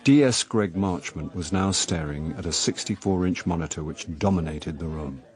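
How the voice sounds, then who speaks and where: An actor playing a wry middle-aged actor turned amateur sleuth, narrating a radio drama in a dry, sardonic way. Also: male voice, low voice, normal speed, a little mellow, natural voice. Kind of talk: radio drama, first-person narration and dialogue.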